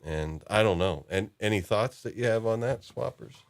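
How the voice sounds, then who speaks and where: A man hosting a casual fan discussion about lore, talking haltingly as he thinks aloud. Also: fairly low pitch, creaky. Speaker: podcast host.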